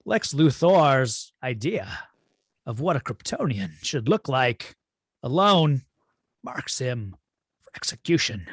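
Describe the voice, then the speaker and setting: A man playing a cold, imperious alien android villain in a script read-through, speaking in a husky, grandiose, deliberate way. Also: mid-range voice, sing-song, dry recording, studio quality, heavily acted. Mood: cold, commanding. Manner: slow, grandiose, deliberate delivery. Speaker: table-read performer.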